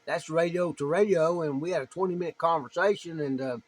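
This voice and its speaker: Higher-voiced man on ham radio. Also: brisk. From United States. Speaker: amateur radio operator, video maker. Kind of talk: casual ham radio chat.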